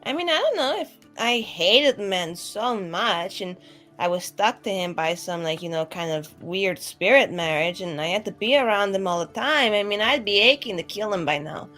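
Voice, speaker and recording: A woman's voice: medium pitch, animated, normal speed, fairly bright tone. A woman playing in a tabletop roleplaying game, improvising casual, rambling in-character dialogue. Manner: rambling and conversational, heavy use of like. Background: music.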